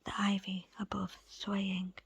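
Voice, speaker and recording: A woman reading a book aloud as ASMR in a soft, breathy, unvoiced whisper, with deliberate pauses between short phrases. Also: mid-range voice, monotone. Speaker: audiobook reader. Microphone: close, dry microphone.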